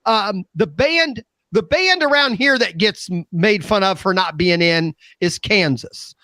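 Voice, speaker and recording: An American man in his fifties chatting casually at a round-table talk show, with an animated, opinionated manner. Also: high voice, a little slow, no echo, studio quality. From Midwest United States. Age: 50s.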